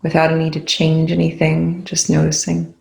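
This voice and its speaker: A meditation guide with a noticeably creaky voice and a fairly even, low-key pitch. The delivery is soothing and unhurried, with long pauses between short instructions. The room adds a clear echo around the words. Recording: echoey room.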